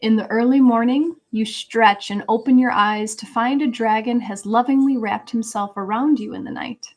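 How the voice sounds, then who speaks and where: A woman with a fairly high voice hosts an intimate livestream card reading. She talks in a casual, earnest way, reading messages aloud and offering spiritual encouragement as a guide would. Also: normal speed. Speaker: live tarot reader and host.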